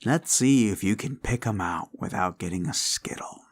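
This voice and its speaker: A man playing an obsessive, controlling boyfriend in an ASMR roleplay, coaxing and condescending, often slipping into breathy whispers. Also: fairly low pitch, moderate pace. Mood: menacing, possessive. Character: obsessive, controlling yandere boyfriend, menacingly sweet.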